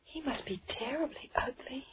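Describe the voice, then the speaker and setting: A woman's voice: sits high, lively intonation, normal speed, in a whisper. An actress playing a timid, easily frightened young woman, hesitant and genteel, in an old radio horror drama. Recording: muffled, noisy old radio recording.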